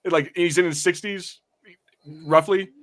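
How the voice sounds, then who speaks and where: A man's voice: sits high, fairly even intonation, slow pace, fairly bright tone. A man co-hosting a podcast in casual chat, swearing freely and bantering with mock indignation. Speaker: podcast co-host. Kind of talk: casual chat reviewing an animated series.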